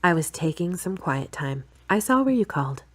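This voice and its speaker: An actress plays a busy working woman with a fairly low voice. She talks plainly and conversationally, as in a homemade audio drama. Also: big pitch swings, moderate pace. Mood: friendly. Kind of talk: amateur audio drama dialogue.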